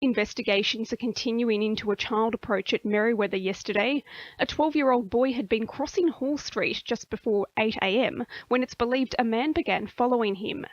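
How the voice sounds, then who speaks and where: A woman's voice: fairly high pitch, brisk, dry recording, muffled recording. A woman with an Australian accent reading a scripted radio news bulletin. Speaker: news reader.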